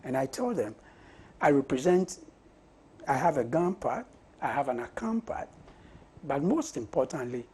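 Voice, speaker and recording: A Ghanaian man with a husky voice and Ga as his mother tongue, answering interview questions in deliberate short phrases. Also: medium pitch, expressive, mellow. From Osu, Accra, Ghana. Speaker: parliamentary candidate being interviewed. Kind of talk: conversational political interview.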